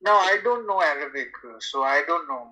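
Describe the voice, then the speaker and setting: A man calling in to an informal religious discussion, speaking in a hesitant, halting way. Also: fairly high pitch, expressive, crowd noise, low-quality recording. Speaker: debate caller.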